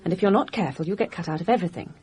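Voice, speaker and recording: An actress playing a composed young upper-class Englishwoman in a radio drama, measured and wry. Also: fairly low pitch, a little mellow, slight background noise, close to an everyday voice. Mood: reading aloud, wry. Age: young adult.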